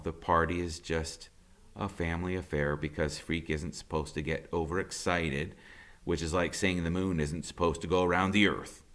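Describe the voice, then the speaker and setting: A man, a teacher, reading a novel aloud in the first person with an expressive, conversational delivery. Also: low voice. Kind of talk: audiobook narration in first person.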